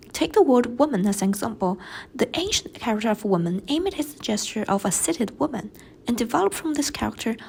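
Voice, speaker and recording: Young woman, Chinese native speaker, student presentation, measured reading, a bit high, slight vocal fry, faint background hiss. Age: young adult. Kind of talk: read class presentation narration.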